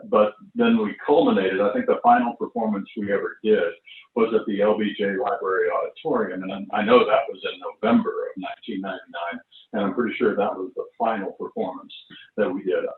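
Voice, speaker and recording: A man's voice: lowish voice, moderate pace. A man in his seventies, an actor casually reminiscing in an anecdotal, chuckling storytelling way. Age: 70s. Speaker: actor recalling a stage show. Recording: roomy, distant, tinny phone-like call audio.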